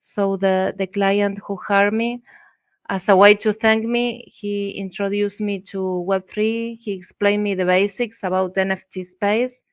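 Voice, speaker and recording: A middle-aged woman from Argentina with an Argentine accent, a native Spanish speaker, telling her story as an interview guest, halting as she searches for words. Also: mid-range voice, level tone, smooth, dry recording. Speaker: interview guest, visual artist. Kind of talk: conversational personal storytelling.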